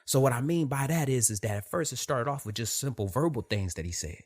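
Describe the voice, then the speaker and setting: Animated soft-voiced man telling a story. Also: medium pitch, a little fast. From Detroit. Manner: animated, repeats phrases, swears casually. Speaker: podcast host. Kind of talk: casual storytelling monologue.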